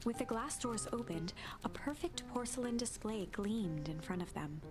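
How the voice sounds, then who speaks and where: A woman's voice: a little high, lively intonation, moderate pace. A woman reading a video game's story aloud as dramatic storybook narration. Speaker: video game let's player and narrator. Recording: music clearly behind the voice.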